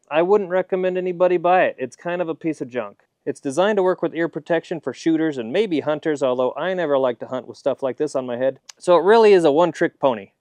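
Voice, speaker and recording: A man's voice: a little high, darkish tone, no echo. American man, casual gear reviewer, dry close sound. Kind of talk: casual product review to camera. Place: backyard, outdoors.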